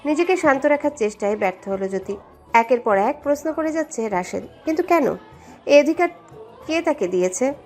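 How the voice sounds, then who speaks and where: A woman's voice: high-pitched, expressive, fairly quick, darkish tone. A woman narrating a scripted story in a dramatic storytelling style. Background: background music.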